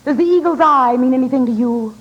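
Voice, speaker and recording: An actress playing a glamorous, sultry femme fatale with a deadpan manner in a 1940s radio detective spoof. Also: female voice, sits high, fast talker, natural voice. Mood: coolly pleading. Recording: muffled, very noisy 1940s radio.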